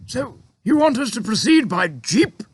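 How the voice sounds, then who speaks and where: A terrified army chief, stammering and jittery in a high, strained voice, as a man puts him on. Storybook brass losing his nerve. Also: very animated, unhurried, slightly rough, dry recording, heavily acted. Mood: anxious. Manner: stammering, anxious.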